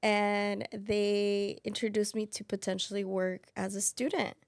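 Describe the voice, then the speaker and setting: Woman in her thirties, first language Spanish, gravelly, dry close sound, thoughtful with many fillers. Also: medium pitch, little pitch movement, measured pace, no echo. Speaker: financial aid specialist. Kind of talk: casual interview.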